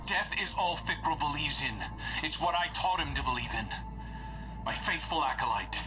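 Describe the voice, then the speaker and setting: An actor playing a grave, regretful veteran commander in a video game, speaking in a measured way. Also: male voice, a little high, animated, far from the mic, music in the background, lightly acted, rough recording. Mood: regretful, weary. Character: regretful veteran commander guiding a soldier, male.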